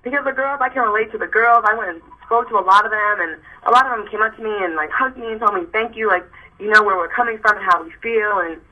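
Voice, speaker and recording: Raspy, fast-talking young woman. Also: medium pitch, phone-quality sound. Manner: chatty, rambling with many restarts. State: exhausted from little sleep. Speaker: interview guest, model and pageant host. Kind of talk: casual chat.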